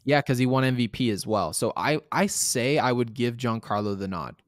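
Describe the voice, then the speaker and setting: A man's voice: mid-range voice, normal speed, dry recording. Guy in his 30s talking sports, blunt and emphatic, says stuff twice to drive it home, dry close mic. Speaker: sports show co-host. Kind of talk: casual sports commentary.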